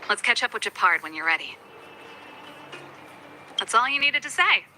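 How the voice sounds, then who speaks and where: Bright, wry young rocker. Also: female voice, a little high, big pitch swings, normal speed, a little hiss or hum, heavily acted. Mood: determined. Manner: casual, candid. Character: spirited young rocker woman, restless and wry. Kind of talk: conversational video game voice acting.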